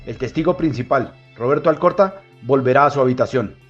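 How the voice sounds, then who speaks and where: A man's voice: medium pitch, fairly quick, close to an everyday voice. A man playing a formal, officious priest who presides over a tribunal with ceremonious announcements in an audio drama. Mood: cold, formal. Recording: dull lo-fi sound, faint music.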